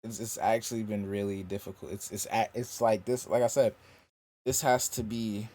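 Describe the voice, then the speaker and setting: Young adult man, deep low voice, relaxed slangy podcast talk about his songs. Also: moderate pace. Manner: loose slangy talk, says "bro" a lot. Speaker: rapper and singer. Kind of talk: casual podcast chat about making music.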